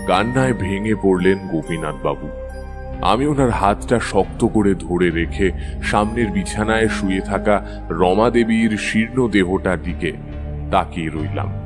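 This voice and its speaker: Man playing a low-voiced occult storyteller. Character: Taranath, calm older occultist storyteller, knowing. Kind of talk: dramatic horror storytelling. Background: music.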